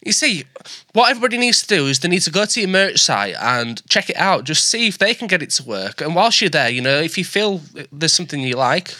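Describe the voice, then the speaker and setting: Podcast host, man, naturally high and bright voice, dry close studio mic, chatty and joking. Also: very animated, brisk, few pauses, no echo. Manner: chatty, joking, self-deprecating. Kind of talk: casual chat. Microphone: dynamic studio mic with preamp.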